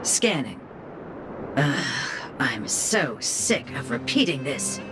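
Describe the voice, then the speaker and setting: A woman's voice: low voice, sing-song, slow, heavily acted. A woman voicing a jaded, bad-tempered robot in a video game, bored and sarcastic. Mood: fed up. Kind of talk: scripted video game voice acting. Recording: music behind the voice. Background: game music.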